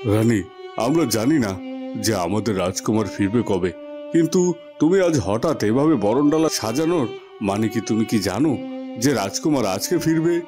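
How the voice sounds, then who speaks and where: A man's voice: mid-range voice, animated, moderate pace, over music, close to an everyday voice. A man voicing a sorrowful, wary king in a cartoon drama. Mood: doubtful, concerned. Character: sorrowful, wary king hiding his suspicions. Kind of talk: dramatized cartoon story dialogue.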